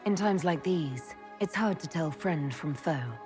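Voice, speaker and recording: An actress playing a composed, quick-witted noblewoman leader in a video game, with a dry, guarded, polite manner. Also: low-pitched, expressive, over music. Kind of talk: video game character acting.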